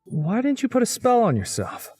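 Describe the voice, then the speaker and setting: Wry, husky schoolboy narrator. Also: male voice, extremely high pitch, wildly swooping pitch, normal speed, a little mellow, faint music behind, exaggerated voice. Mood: curious. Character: wry teenage schoolboy narrating his supernatural adventure. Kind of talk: first-person visual novel narration and dialogue.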